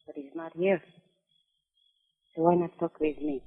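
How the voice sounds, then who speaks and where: Coy frontier temptress on old radio. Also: female voice, low-pitched, steady tone, unhurried. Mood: coy, teasing. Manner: coy, teasing, forward. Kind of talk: 1950s radio drama acting. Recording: muffled, narrow-band old radio recording.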